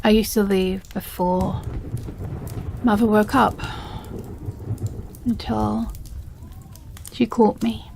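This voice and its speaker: A woman with a British accent playing an obsessive, possessive, unstable stepsister in a dramatic audio roleplay, speaking haltingly with heavy pauses. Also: medium pitch, fairly even intonation, gravelly, a little mellow, slight background noise. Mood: wistful, confiding.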